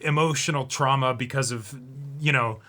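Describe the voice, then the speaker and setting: Male, medium pitch, bright and punchy tone. Casual discussion co-host who feels his way through each point. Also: moderate pace. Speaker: co-host of an anime reaction show.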